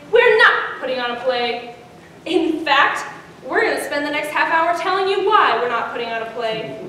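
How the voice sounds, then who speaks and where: Teen girl, stage readers theater, high voice, dramatic hush-to-punch delivery, distant mic in a booming hall. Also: slight background noise. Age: teens. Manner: dramatic, swings from hushed to emphatic. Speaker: high school student performer. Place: large school hall on stage. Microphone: distant room mic. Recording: echoey hall from far off.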